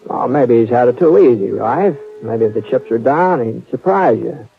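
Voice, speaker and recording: A man's voice: medium pitch, normal speed, creaky. An actor playing an easygoing drifting gunman who also narrates, in a mild, folksy way. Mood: calm. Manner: relaxed, folksy, mild-mannered. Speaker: narrator and lead. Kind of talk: 1950s radio drama acting. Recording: tinny old radio broadcast with music.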